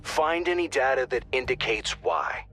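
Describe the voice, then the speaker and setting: An actor playing a wry male operations dispatcher who briefs the squad in a dry, quick manner. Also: mid-range voice, very animated, lightly acted. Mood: briefing. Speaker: mission dispatcher. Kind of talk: video game voice acting.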